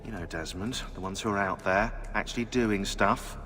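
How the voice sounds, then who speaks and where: An actor playing a self-important, impatient researcher in a video game, sarcastic and wordy. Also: male voice, on the low side, moderate pace, bright, forceful tone, a little hiss or hum. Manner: sarcastic, clipped, verbose. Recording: long reverb, music behind.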